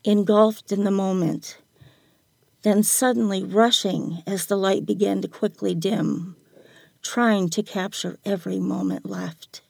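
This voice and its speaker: A woman's voice: mid-range voice, fairly even intonation, measured pace, creaky, breathy edge, no room sound. An older woman reading her own reflective written story aloud as a guest writer.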